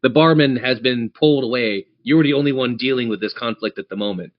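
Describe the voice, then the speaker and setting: A man's voice: medium pitch, fast, lo-fi sound. A man narrating a tabletop fantasy game as game master, theatrical yet conversational.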